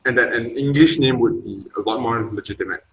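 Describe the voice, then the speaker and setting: A man's voice: mid-range voice, a little fast. A young man hosting a podcast, asking casual, rambling questions full of fillers. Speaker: podcast host. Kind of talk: interviewing. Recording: roomy echo, dull lo-fi sound.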